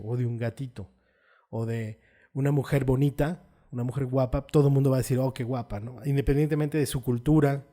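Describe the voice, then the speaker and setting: A man's voice: mid-range voice, dark tone. A man, a photographer hosting his channel, patiently explaining ideas through everyday examples and tag questions. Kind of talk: explanatory video talk.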